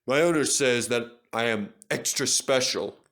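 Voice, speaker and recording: A man's voice: mid-range voice, measured pace, fairly bright tone, natural voice. A man voicing a famous, self-satisfied steam engine, a genial and confiding elder brother in a children's story. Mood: genial, proud.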